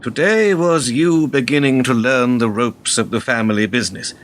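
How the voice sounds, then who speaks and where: An actor in a radio drama playing an ageing, smooth-talking political fixer, cynical, measured and self-assured. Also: male voice, mid-range voice, slight background noise, lightly acted. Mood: grave, persuasive.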